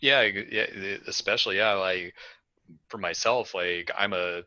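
A man's voice: deep voice, unhurried, sharp tone, dry recording, highs cut off. A man hosting an education podcast, talking casually with many "like" and "you know" fillers. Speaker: podcast host. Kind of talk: podcast interview conversation.

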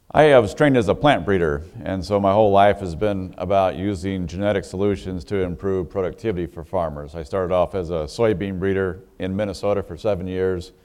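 A man giving steady prepared remarks as an industry panelist, with frequent fillers. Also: low voice, clean tone.

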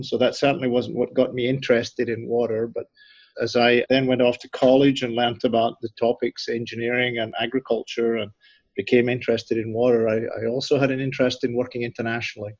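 A man's voice: medium pitch, flat delivery, fairly quick, darkish tone, no room sound, dull audio. A middle-aged man from Scotland with a Scottish accent, a guest answering interview questions thoughtfully, often restarting and repeating words. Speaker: institute director, guest.

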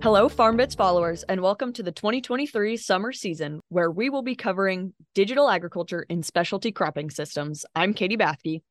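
A woman co-hosting a student podcast, reading the scripted opening in a clear, rehearsed way. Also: medium pitch, brisk, few pauses, dry recording. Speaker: co-host, student. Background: guitar music.

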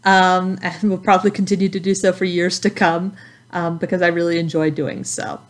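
A woman from Texas with a Texan accent, an instructor giving a recorded welcome introduction in a friendly, measured way with many ums. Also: on the low side, flat delivery.

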